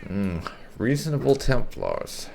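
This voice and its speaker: A man hosting a casual video game playthrough, haltingly thinking aloud as he comments. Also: mid-range voice, wildly swooping pitch, creaky, crowd noise. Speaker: gaming channel host. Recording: game ambience faintly behind commentary.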